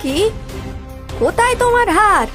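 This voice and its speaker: Woman acting a high-voiced watchful village helper. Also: sing-song, a little slow, fairly bright tone, soft background music, heavily acted. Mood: concerned. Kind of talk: animated cartoon story dialogue.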